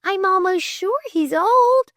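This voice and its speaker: A woman's voice: extremely high pitch, slow, gravelly, sharp tone, dry recording, studio quality, pitched above the performer's own voice, exaggerated voice. A woman voicing a flustered, indignant and impulsive young girl in a storybook, with breathless, stammering protests. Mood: startled.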